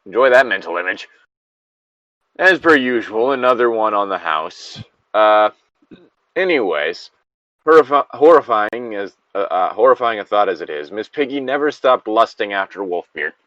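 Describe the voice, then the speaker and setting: A man reads aloud and breaks off for informal commentary. His voice is on the low side and moves around in a lively way. The recording is slightly dull and lo-fi. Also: normal speed, no echo. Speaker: co-host reading a story aloud. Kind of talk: read-aloud with casual commentary.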